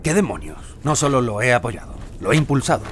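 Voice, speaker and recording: An actor playing an older, authoritative lord and patron in an audio drama, grave, formal and resigned. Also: male voice, mid-range voice, sing-song, brisk, over music, close to an everyday voice. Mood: firm.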